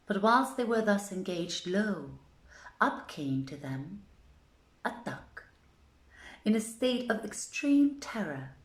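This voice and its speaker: A woman reading an old literary tale aloud as a storyteller, with deliberate, theatrical phrasing, in a roomy live stream recording. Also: fairly low pitch. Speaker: storyteller, reader.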